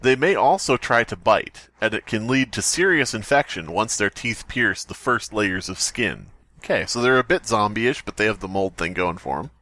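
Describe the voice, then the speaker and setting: A man's voice: on the low side, animated, moderate pace, pushed and bright, no room sound. A man co-hosting a horror fiction podcast, reading aloud and joking with ironic asides and frequent laughs. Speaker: podcast co-host reading stories.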